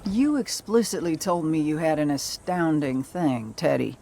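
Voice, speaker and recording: A woman voicing a tough, wry bounty hunter in a video game, with a dry deadpan manner. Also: low voice, unhurried, creaky, a little hiss or hum, heavily acted. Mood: dry, puzzled. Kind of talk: video game character acting.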